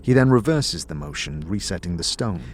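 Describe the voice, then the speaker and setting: A man's voice: sits low, animated, moderate pace, a little hiss or hum. A man narrating a fantasy story in a dramatic audio drama style.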